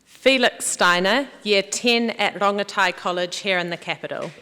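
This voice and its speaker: A woman making measured, formal ceremony announcements as master of ceremonies. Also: medium pitch, very noisy. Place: large indoor hall, public address. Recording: echoey hall, long reverb.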